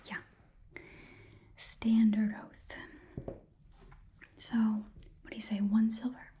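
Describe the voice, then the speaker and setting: A woman's voice: a little high, completely flat delivery, warm tone. Whispering, chatty goblin shopkeeper played by a woman. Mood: haggling, hesitant. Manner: halting, rambling, repeats phrases. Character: chatty, eager female goblin pawn-shop keeper, haggling and scatterbrained. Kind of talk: whispered ASMR fantasy shopkeeper roleplay.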